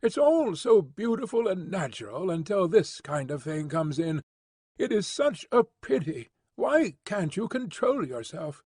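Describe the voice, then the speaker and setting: A man's voice: sits high, very animated, unhurried, darkish tone, slightly rough, dry recording, studio quality, full character voice. A man reading an audiobook, voicing a young woman who talks in an earnest, lofty, lightly chiding way. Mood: reproachful. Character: earnest idealistic young woman, gently scolding.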